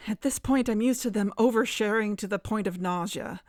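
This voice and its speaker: A woman's voice: mid-range voice, a little fast, a little mellow, natural voice. A woman playing a guarded, distrustful investigator in an audio drama, with a terse, serious, naturalistic delivery. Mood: doubtful.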